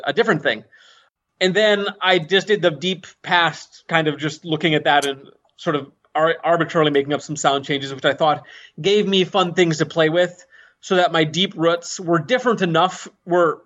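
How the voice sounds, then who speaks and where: A man's voice: a little high, a bit bright. A man being interviewed as an author and language inventor, talking thoughtfully with frequent restarts and fillers. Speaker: author and conlanger, interview guest. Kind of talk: casual interview talk. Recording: muffled, dull podcast audio.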